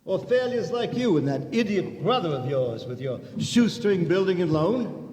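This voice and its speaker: A man's voice: high voice, big pitch swings, normal speed, a little mellow. A man playing a cold, sneering, miserly old tycoon villain in a live radio play. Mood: mocking. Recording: echoey reverberant hall.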